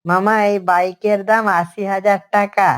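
A woman's voice: mid-range voice, flat delivery, normal speed, natural voice. A woman voicing a cocky, scheming fox in a cartoon story. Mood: guarded. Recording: music under the voice. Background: background music.

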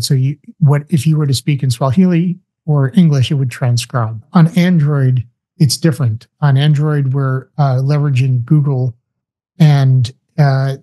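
A man's voice: fairly high pitch, dark tone. A man in his forties, a company founder guesting on an interview show, explaining how his product works step by step from a small room at home. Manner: brisk, explanatory, walks through steps. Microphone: large studio mic on arm with headphones, video call.

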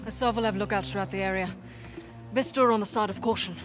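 A woman's voice: mid-range voice, fairly even intonation, fast talker, over music, lightly acted. An actress voicing a tough female mercenary commander in a video game, speaking in a stern, curt way. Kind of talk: video game cutscene acting.

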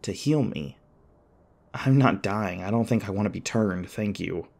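A man playing a shaken, apologetic young human in an audio roleplay, speaking hesitantly with stammers and halting phrases. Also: fairly low pitch, gravelly, a little mellow. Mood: incredulous, wary. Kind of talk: ASMR audio roleplay, naturalistic acting.

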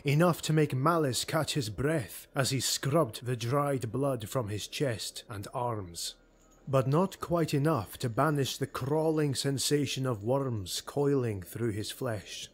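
A man reading a dark fantasy audiobook in a measured, dramatic way. His voice is husky and sits at an everyday male pitch. He keeps a steady, deliberate delivery through the descriptive passages. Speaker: audiobook narrator. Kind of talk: audiobook narration.

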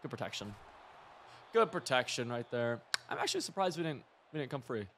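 A hoarse young man talks through a football video game as he plays, hopping from tactics to chatty personal tangents. His voice sits at an ordinary pitch, and he gets loud when a play goes well or badly. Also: a little fast, a little hiss or hum. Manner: rambling, excitable, swings between coaching and side stories. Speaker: gaming video creator. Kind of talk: live gameplay commentary.

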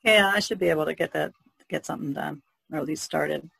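A woman's voice: low voice, quick pace, gravelly, fairly bright tone, slightly rough, no room sound. A woman chatting casually as a panelist on an artists' podcast. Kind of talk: casual chat.

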